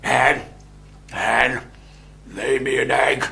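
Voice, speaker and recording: A man voicing a huge, greedy male giant who bellows slow, menacing chants in a storytelling. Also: medium pitch, level tone, vocal fry, bright, forceful tone, heavily acted. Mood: demanding.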